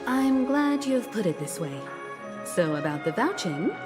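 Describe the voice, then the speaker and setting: A woman's voice: medium pitch, sing-song, music in the background. An actress playing a poised, diplomatic woman navigator in a video game, speaking formally and persuasively. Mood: composed. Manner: measured, formal, courteous.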